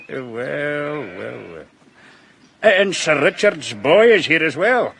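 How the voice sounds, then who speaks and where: An actor playing a smooth, prying Scottish sheep dealer who asks a lot of questions, in a radio drama. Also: male voice, a little high, very animated, slow, fairly bright tone, exaggerated voice. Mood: probing. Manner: probing, ingratiating.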